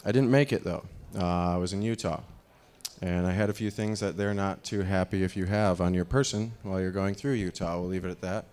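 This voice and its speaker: A man in his thirties with a deep voice, giving a rambling live talk on a dark stage into a handheld microphone, full of fillers. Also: steady tone, a little fast. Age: 30s. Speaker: speaker.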